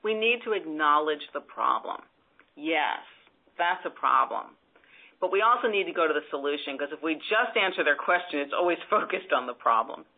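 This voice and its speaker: A woman's voice: low-pitched, normal speed. Woman coaching over a tinny phone line. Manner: lively, emphatic, gives examples. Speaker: presentation coach. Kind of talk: call-in advice show.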